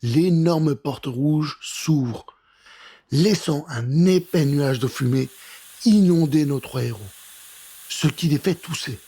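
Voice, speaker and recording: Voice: mid-range voice, slow, dark tone, slightly rough. A storyteller reading a scripted children's adventure in a theatrical, suspenseful way.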